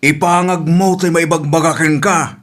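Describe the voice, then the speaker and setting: Guy playing stern ashamed dad telling off his kid, ilocano radio drama, voice a bit high. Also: steady tone, moderate pace, fairly bright tone, close to an everyday voice. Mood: scolding, ashamed. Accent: Ilocano Filipino. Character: stern, scolding father, ashamed of his wayward daughter.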